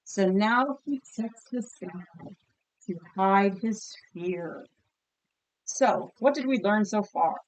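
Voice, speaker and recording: A woman teacher reading a picture book aloud expressively and pausing to ask listeners questions. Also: medium pitch, rough recording. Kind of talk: storybook read-aloud with comprehension questions.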